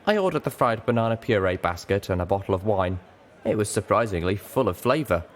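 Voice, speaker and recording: A man's voice: lowish voice, expressive, fairly quick. A man reading scripted first-person fiction as an audiobook narrator. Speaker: audiobook reader.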